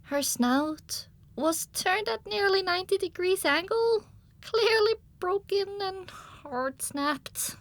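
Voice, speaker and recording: A woman's voice: extremely high pitch, animated, slow pace, fairly bright tone. A woman reading dramatic first-person audiobook narration, with music playing underneath.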